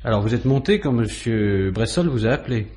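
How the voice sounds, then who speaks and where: A man's voice: mid-range voice, expressive, a little fast, a little mellow, breathy edge. A man acting a matter-of-fact, persistent police inspector who asks informal questions in a radio crime drama. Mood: probing. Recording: noisy vintage radio recording.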